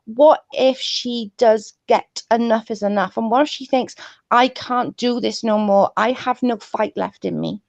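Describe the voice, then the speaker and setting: A woman's voice: a little high, measured pace, creaky, darkish tone, no echo, highs cut off. A woman who stutters, talking earnestly and pleadingly over a video call. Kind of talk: heated casual chat.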